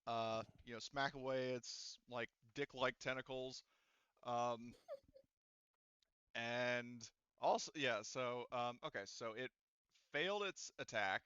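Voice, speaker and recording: Man, game master, rambling with fillers, bright clear voice, dry lo-fi sound. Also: mid-range voice, takes it slowly, no echo. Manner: rambling, filler-heavy, laughs often. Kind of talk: running a tabletop game over voice chat.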